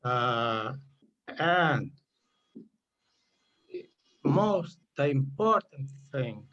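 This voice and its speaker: Older portuguese guy on a zoom call, super slow, halting english, mid pitch. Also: big pitch swings, a bit bright, dull audio. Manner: halting, searching for words, apologetic. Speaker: contest winner, retiree. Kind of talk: video call talk about his essay. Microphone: video call.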